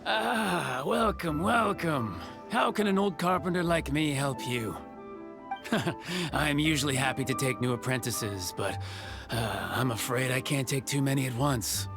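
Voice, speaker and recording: An actor playing a friendly, chatty old village carpenter in a video game who chuckles easily. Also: male voice, mid-range voice, measured pace, creaky. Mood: genial. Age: older. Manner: chuckling, easygoing. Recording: game music behind.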